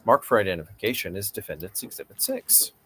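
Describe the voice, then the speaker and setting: A man's voice: fairly low pitch, huge pitch swings, fast, a bit bright, breathy edge, exaggerated voice. A man playing a persistent, formal lawyer questioning an evasive witness in a read-aloud deposition. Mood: procedural.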